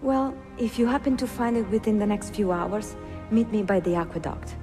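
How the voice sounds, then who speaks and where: An actress playing a bookish, spirited young Italian woman bookseller, friendly and expressive, with an Italian accent, in a dramatic game cutscene. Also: medium pitch, moderate pace, faint music behind, lightly acted. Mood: resigned, practical.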